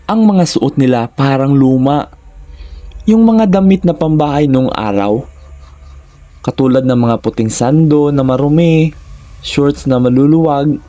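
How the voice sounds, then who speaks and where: A man with a Filipino accent reading a scripted first-person horror story in measured, short suspenseful phrases. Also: mid-range voice, mellow.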